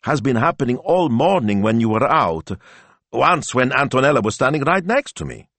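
A man's voice: mid-range voice, very animated, normal speed, a bit bright, no room sound, pitched above the performer's own voice. A man voicing an eager, naive young male sidekick with an earnest, deferential manner in an audiobook.

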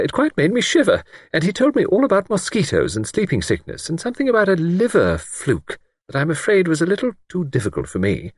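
A fluttery middle-aged spinster, as a male narrator puts her on, eager and easily alarmed. Her voice leaps high and swoops about with great liveliness. It sits close and dry, with no room around it. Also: slightly rough, no room sound, heavily acted. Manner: high, breathless, fussy and eager.

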